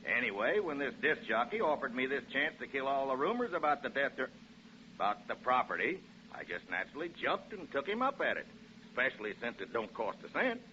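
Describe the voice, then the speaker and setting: A breezy American property salesman in a 1940s radio play. His voice is bright and very lively, with a folksy, reassuring patter. The recording is muffled and old. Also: medium pitch, a little fast, a little hiss or hum. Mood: breezy, persuasive. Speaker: real estate agent.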